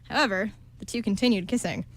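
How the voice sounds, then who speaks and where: A young woman narrating a romance script aloud for an amateur radio show, in a steady storybook manner. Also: mid-range voice.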